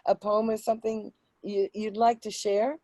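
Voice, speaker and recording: A woman talking casually in a group, gently prompting others and often repeating her phrases. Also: mid-range voice, flat delivery, normal speed, no echo. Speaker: discussion participant prompting others. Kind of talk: casual discussion talk.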